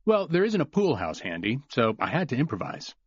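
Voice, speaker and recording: A man's voice: medium pitch, huge pitch swings, brisk, slightly rough, lo-fi sound. A man voicing a blunt, defiant father with a wry, snarling edge, in an audiobook.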